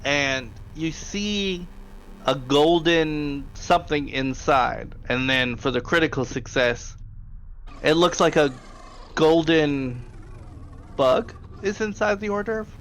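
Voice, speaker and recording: A man with a gravelly voice, narrating a tabletop game as game master in a casual way. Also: on the high side, slow pace, a bit bright, low-quality recording.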